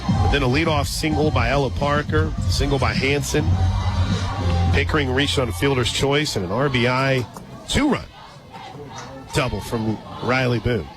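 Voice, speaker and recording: A man with an American accent calling softball play-by-play for radio in a conversational, excitable manner. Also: medium pitch, moderate pace, long pauses. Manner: conversational, excitable on big plays. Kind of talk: live softball commentary. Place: outdoor stadium radio booth. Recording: loud crowd and music, outdoors. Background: loud crowd and music.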